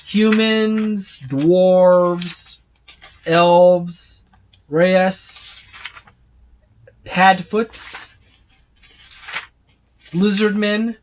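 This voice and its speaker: A man's voice: sits high, steady tone, very slow, pauses often, slight background noise, low-quality recording. A man giving a casual, unscripted walkthrough of a book, rambling and often listing items one by one. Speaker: tabletop gaming reviewer.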